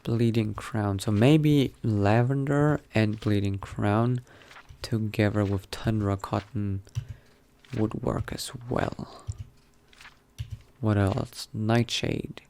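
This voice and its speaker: A man doing an in-character game roleplay, reading aloud in a measured, bookish way and muttering asides to himself. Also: on the low side, steady tone, vocal fry, warm tone, slight background noise. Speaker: roleplay gamer narrating in character.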